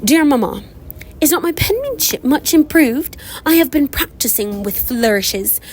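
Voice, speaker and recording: A woman's voice: high voice, expressive, measured pace, pitched above the performer's own voice. A woman reading aloud as a vain, gossipy young woman with an affected, preening manner.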